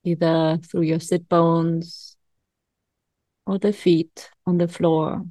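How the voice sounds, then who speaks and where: Female meditation guide, lowish and very unhurried, calm and soothing, leaving long pauses, close dry sound. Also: dry recording. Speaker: meditation coach.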